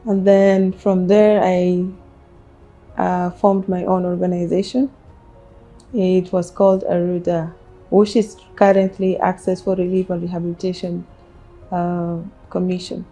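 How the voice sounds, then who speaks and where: A woman with a South Sudanese accent answering interview questions about herself in measured, halting phrases. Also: lowish voice, flat delivery, dark tone, soft background music, low-quality recording. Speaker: interview guest, businesswoman. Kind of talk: interview, personal life story.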